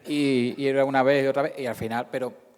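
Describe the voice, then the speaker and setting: A man presenting informally at an event, halting as he searches for words. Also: medium pitch, steady tone, a little mellow. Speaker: radio drama actor and presenter. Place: large indoor hall at a public event. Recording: echoey hall, long reverb.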